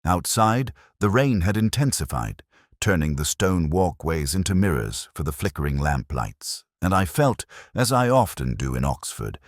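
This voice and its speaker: A man reading a scripted first-person detective story in a measured, reflective, literary manner. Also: sits low, no echo, studio quality. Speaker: audiobook-style story narrator.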